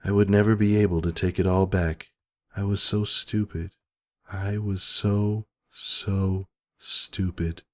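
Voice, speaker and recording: A man's voice: deep voice, flat delivery, slow pace, a little mellow. A man reading scripted first-person story narration in a dramatic, emotive way.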